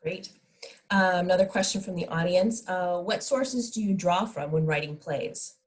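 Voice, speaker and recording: A woman's voice: fairly low pitch, moderate pace. A woman moderating a casual live question-and-answer session over a video call.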